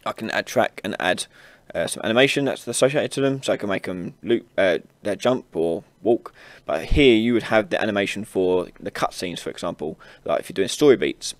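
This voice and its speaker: A man hosting an informal software tutorial, casually explaining each step as he demonstrates it on a close mic. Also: on the low side, no room sound. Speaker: tutorial host. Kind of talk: informal screen-recorded software tutorial narration.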